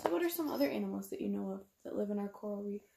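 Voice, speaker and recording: Woman in her twenties, creaky voice, children's read-aloud, sing-song simple explaining, question-led. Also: medium pitch, lively intonation, racing, noisy background. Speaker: teacher reading aloud. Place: small room at home, indoors.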